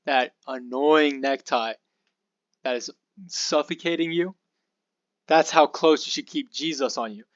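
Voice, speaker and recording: Young male podcast host, a touch hoarse, loose and chatty, mid pitch, dry muffled home recording. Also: dry recording. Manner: relaxed and rambling, with fillers and asides. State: slightly hoarse. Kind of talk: casual Bible study talk.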